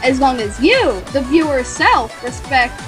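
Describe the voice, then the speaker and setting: A woman co-host reads a passage aloud, stumbling and halting as she goes. Her voice is high. Clear music plays underneath. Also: big pitch swings. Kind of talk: reading a text aloud.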